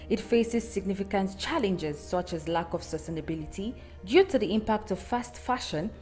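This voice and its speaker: A woman reads a news package in an even, formal news cadence. Her pitch sits in a middle range. Soft music plays quietly under her voice. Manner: measured, formal read delivery. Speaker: news reporter. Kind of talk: scripted news report voice-over.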